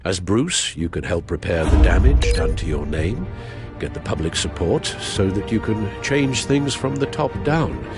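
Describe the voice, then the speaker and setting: Older male butler, game drama: low voice, formal, fatherly, earnest, music underneath. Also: big pitch swings, measured pace, few pauses, exaggerated voice. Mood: measured, advising. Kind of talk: video game voice acting. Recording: music behind the voice.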